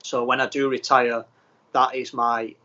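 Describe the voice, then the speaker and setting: English footballer on a dull lo-fi line. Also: male voice, medium pitch, a little slow, a little hiss or hum. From England. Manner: plain, steady, with frequent ums. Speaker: footballer guest. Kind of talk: casual interview answers.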